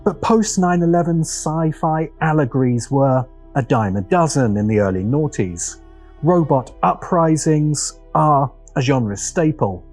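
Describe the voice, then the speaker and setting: A man narrating a scripted video essay with dramatic, deliberate phrasing and weighty pauses. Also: mid-range voice, darkish tone, breathy edge. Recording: music behind the voice. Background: music.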